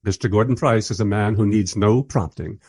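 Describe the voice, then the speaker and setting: A man's voice: on the low side, moderate pace, darkish tone, slightly rough. A man playing a polite, inquisitive interviewer in a radio play, asking courteous questions and narrating with wry asides. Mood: courteous, intrigued.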